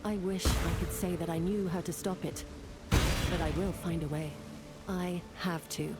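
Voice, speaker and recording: An actress playing a weary, regretful woman in a video game, narrating in a solemn, measured, reflective way. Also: lowish voice, steady tone, lightly acted. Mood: grave, resolute. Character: weary, regretful woman, solemn fantasy mapmaker recalling loss. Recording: loud cinematic music behind the voice.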